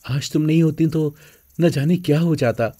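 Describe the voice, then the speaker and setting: A man voicing an earnest middle-aged father in an animated moral story. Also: on the high side, normal speed, close to an everyday voice. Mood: grateful, fatherly.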